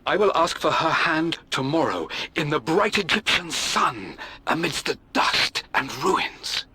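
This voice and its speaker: Casting: an articulate, adventurous British aristocrat, fond and enthusiastic, very animated, bright tone, reading a game journal. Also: male voice, on the high side, a little slow, exaggerated voice. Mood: fond, eager. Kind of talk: dramatic game journal narration.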